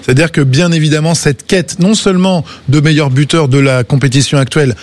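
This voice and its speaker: A man giving football opinions on a radio debate, animated and joking, full of hesitations. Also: a little high, fairly quick. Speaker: football pundit.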